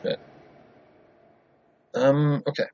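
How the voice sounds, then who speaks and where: A man reacting to a story, excitable and thinking out loud, swearing now and then. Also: drawn-out words, a little hiss or hum. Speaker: reaction video host. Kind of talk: casual reaction commentary. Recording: dull lo-fi home recording.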